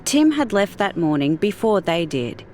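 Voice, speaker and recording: A woman narrating a scripted audiobook story in an expressive storytelling manner. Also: lowish voice, moderate pace, faint music behind.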